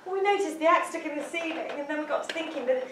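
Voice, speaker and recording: A man voicing a silly, weepy young woman who frets about an imagined disaster, in a high wailing voice. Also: heavy reverb, distant mic, background noise. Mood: tearful, fretting. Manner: high and wailing, rushing through the worry.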